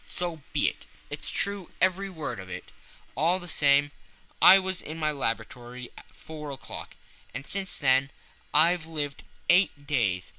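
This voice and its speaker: A man voicing a weary, starving Victorian gentleman time traveler in an audiobook, emphatic and earnest. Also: medium pitch, clear, resonant tone, rough recording. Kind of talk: audiobook character voice.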